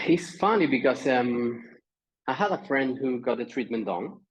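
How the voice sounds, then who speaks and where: A man's voice: mid-range voice, animated, unhurried, husky, rough recording. A Spanish man with a Spanish accent, a guest on a podcast, talking casually and hesitantly as he searches for words. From Spain, Andalusia. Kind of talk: casual interview chat. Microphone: remote call.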